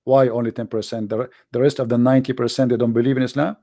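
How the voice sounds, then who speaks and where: A man speaks straight to his viewers in a blunt, emphatic livestream monologue, preaching as a daily broadcast host. His voice has a gravelly rasp. The sound is dry and close, with no room echo. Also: mid-range voice, level tone, fast talker, warm tone. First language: Arabic.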